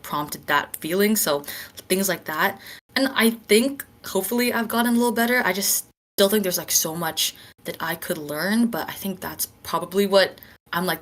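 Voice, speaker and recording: A woman's voice: lowish voice, fairly bright tone. A woman chatting reflectively as a podcast panelist on an online call, informal, self-deprecating and heavy on filler words. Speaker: podcast panelist, writer and amateur voice actor. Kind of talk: casual reflective chat.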